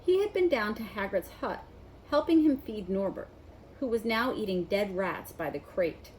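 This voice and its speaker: A woman narrating a children's novel in an expressive read-aloud style. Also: medium pitch, moderate pace, slight background noise, poor audio. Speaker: storybook reader.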